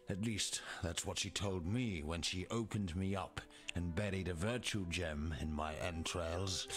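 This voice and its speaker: An actor playing a troubled, learned survivor in a fantasy video game, dramatic and brooding, dropping to a hush. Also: male voice, lowish voice. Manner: brooding, dramatic, hushed line endings. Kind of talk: video game character dialogue. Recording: music behind the voice.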